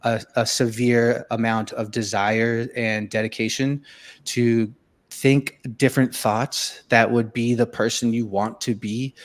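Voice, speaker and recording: A man's voice: medium pitch, little pitch movement, measured pace. A man born in Venezuela, a filmmaker and musician, telling his life story in a casual interview with many fillers and restarts.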